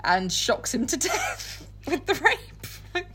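A woman's voice: sits high, very animated, slow pace, fairly bright tone. A woman podcast co-host mixing casual, comic chat with dramatic book readings, giggly, irreverent and free with swearing.

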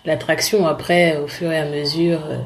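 A woman's voice: sits low, flat delivery, normal speed, slight background noise, rough recording. A woman in her thirties from Congo, talking casually as an interview guest, with many fillers and restarts.

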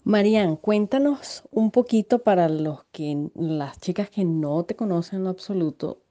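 A woman from Venezuela with a Venezuelan accent, hosting a podcast interview and filling pauses with many "eh" and "este" sounds. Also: on the low side, warm tone. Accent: Venezuelan Spanish. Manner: hesitant, many fillers. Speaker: podcast host. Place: home setup, recording at night.

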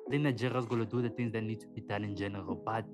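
A man, co-hosting a podcast in casual, slangy, animated chat. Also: lowish voice, rapid-fire. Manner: animated, slangy, often says "man" and "dog". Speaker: podcast co-host. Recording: music playing underneath.

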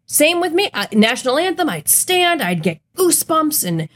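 A woman's voice: sits high, sing-song, normal speed, fairly bright tone. A woman co-hosting a podcast, talking in an earnest, emphatic, blunt way in casual conversation. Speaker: podcast co-host.

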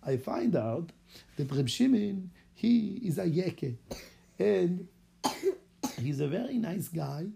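A man's voice: sits high, expressive, takes it slowly, creaky, warm tone, husky, full character voice. A man putting on an eager Israeli rabbi. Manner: eager, chatty storytelling in broken English. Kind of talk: acted-out story for children.

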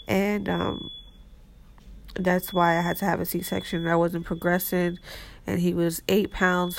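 Stuffy-nosed woman with a gravelly voice. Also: fairly low pitch, darkish tone, slight background noise. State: stuffy nose from a cold. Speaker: guest. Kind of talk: casual personal storytelling.

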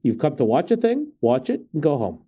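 A man co-hosts a comedy podcast, tired and loopy, teasing his partner and giggling often. His voice is warm and relaxed, with a very lively pitch. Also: a little fast, slightly rough. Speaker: podcast co-host. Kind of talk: casual comedic chat.

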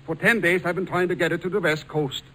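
Pleading Scandinavian ship's captain, fairly high forceful voice, formal, halting repetitions, vintage radio broadcast. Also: male voice, fairly even intonation. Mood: desperate, earnest. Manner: pleading, repeating words, formal. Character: desperate, earnest Scandinavian sea captain. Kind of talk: 1940s radio acting.

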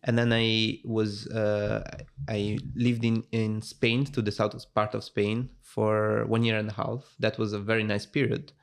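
A man's voice: lowish voice, completely flat delivery, a little slow, creaky, hardly any pauses. A man in his twenties from Italy, speaking with an Italian accent as a guest in a casual interview, thoughtful and full of fillers and restarts. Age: 20s.

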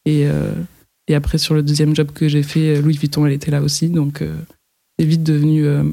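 A woman's voice: low voice, completely flat delivery, a little fast, gravelly, mellow. A woman, a fashion model, talking casually in an interview, hesitant and full of fillers and restarts.

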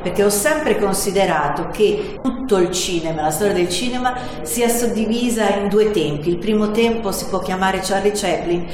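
A woman's voice: mid-range voice, moderate pace, hardly any pauses. A woman film director giving a speech to present her short film at a public event. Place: large indoor hall at an event. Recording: very echoey hall, faint noise.

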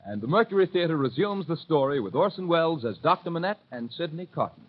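A man's voice: fairly high pitch, expressive, fast, very noisy. A man announcing a drama programme in the formal style of 1930s radio. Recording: muffled old radio recording.

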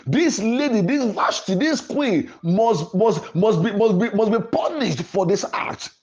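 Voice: medium pitch, animated, a little slow, a little mellow, highs cut off. A preacher delivering a sermon in an emphatic, declarative way, repeating phrases for emphasis. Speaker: preacher and podcast host.

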